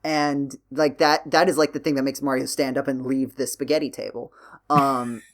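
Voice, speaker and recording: A man's voice: mid-range voice, flat delivery, normal speed, a bit bright. A man hosting a podcast, talking through his points casually in a rambling, analytical way. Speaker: podcast host.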